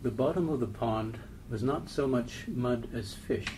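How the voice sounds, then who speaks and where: A man's voice: medium pitch, measured pace, a little mellow, a little hiss or hum, low-quality recording. A man reading a literary poem aloud as part of a group reading. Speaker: poetry reader.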